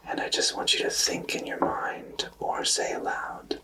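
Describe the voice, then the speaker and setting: A man whispering in a gentle, polite, guiding way as a tarot reader in an ASMR-style reading. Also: measured pace, far from the mic.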